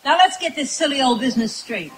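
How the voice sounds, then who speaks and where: An actress playing a brisk, emphatic announcer reading an old-time radio commercial. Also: female voice, lively intonation, over music. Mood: persuasive. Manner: emphatic sales pitch. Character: brisk no-nonsense spinach advertisement announcer, woman. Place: outdoor stage in the woods.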